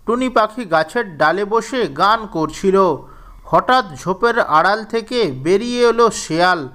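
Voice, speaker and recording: A man narrating a scripted children's story in a measured, deliberate storybook manner. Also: sits high, animated, pushed and bright.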